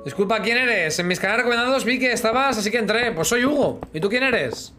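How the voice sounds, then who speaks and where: A man's voice: very high voice, expressive, quick pace, bright, forceful tone, faint music behind. Joking Spanish man streaming a game. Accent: Spain Spanish. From Spain. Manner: joking, chats with viewers while playing, hums sound effects. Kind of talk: casual live gaming chat. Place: small room indoors. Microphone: headset.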